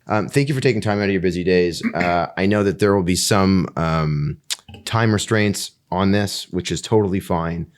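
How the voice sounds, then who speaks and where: A male podcast host who chats loosely and jokes, with fillers and quick interjections. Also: sits low. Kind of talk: ad read then casual interview.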